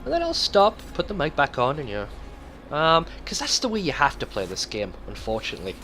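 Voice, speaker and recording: A man's voice: a little high, huge pitch swings, a little fast, sharp tone, slight background noise. A man hosting a let's play with casual, rambling gameplay commentary and loose swearing.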